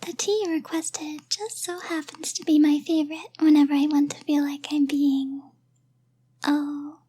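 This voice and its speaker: Woman acting a high, hushed, kindly innkeeper. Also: flat delivery, normal speed, lightly acted. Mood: gently concerned. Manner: gentle, hushed, slightly hesitant, half-whispered. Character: kindly, timid, softly spoken female innkeeper. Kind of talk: soft-spoken ASMR fantasy roleplay.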